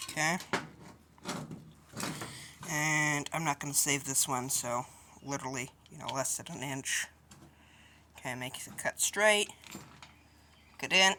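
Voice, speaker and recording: A woman's voice: low-pitched, measured pace, pauses often, noisy background. A woman hosting a DIY how-to video, explaining each step informally and a little hesitantly. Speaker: host of a DIY tutorial video. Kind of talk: casual hands-on how-to explanation.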